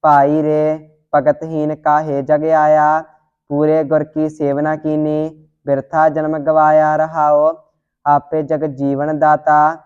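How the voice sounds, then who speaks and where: A student reciting scripture line by line in a steady, deliberate devotional monotone. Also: mid-range voice, smooth. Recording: dull lo-fi audio.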